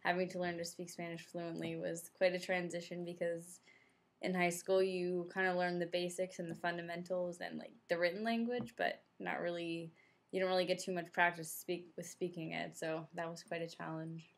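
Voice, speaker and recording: A woman's voice: lowish voice, normal speed, vocal fry. A young American woman answering interview questions in a chatty, casual way, leaning on filler words. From United States. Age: young adult. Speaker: interviewee, former exchange student.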